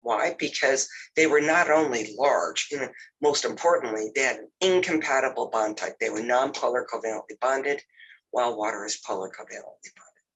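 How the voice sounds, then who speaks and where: A man's voice: medium pitch, moderate pace, slightly rough, poor audio. A man with a cold and a nasal, congested voice, walking through a chemistry lab over a video call, using many fillers. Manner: relaxed, filler-heavy, says "you know" often. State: has a cold. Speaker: lab instructor.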